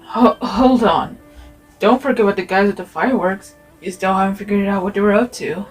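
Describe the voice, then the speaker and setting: An easygoing young desert man voiced by a woman talks in a relaxed, sociable way at a middling pitch. It is fan-dubbed fantasy game dialogue, with faint music underneath. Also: fairly quick, lightly acted, poor audio. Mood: casual, thoughtful.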